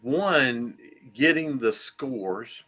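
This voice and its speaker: A man co-hosting a casual sports talk show, speaking in a thoughtful way that trails off quietly. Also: mid-range voice, lively intonation, drawn-out words. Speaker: co-host.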